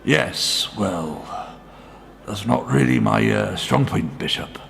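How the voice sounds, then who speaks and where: An actor playing a courteous, hesitant church helper in a radio play, halting and deferential. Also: male voice, fairly low pitch, expressive, vocal fry, a bit bright, slight background noise, exaggerated voice. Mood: hesitant, troubled. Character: hesitant, courteous, troubled father helping at church.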